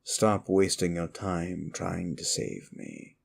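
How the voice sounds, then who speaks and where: An ancient, wicked demon, acted by a man, speaks straight to the listener in an intimate ASMR roleplay. The voice is very low and full of gravelly fry. Each phrase comes slowly and deliberately, brooding and theatrical, with contempt and a trace of inner conflict. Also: big pitch swings, full character voice. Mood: cold, contemptuous. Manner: theatrical, brooding, slow and deliberate with dramatic pauses. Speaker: audio roleplay performer.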